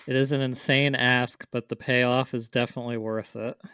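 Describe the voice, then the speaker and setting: Guy on a podcast just chatting, pretty even pitch, clean smooth voice, kinda rambly. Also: moderate pace, no echo. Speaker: podcast co-host. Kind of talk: casual chat, discussing visual novels.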